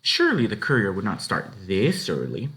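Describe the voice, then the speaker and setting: A man narrates a storybook aloud in a fairly low voice. His delivery is expressive, with very animated swings in pitch. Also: normal speed.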